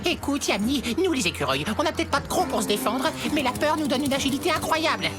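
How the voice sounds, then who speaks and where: Excitable high-pitched little cartoon squirrel. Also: female voice, fast. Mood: urgent, brave. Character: chatty, jumpy, cowardly little squirrel. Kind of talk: animated cartoon dialogue. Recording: loud music behind.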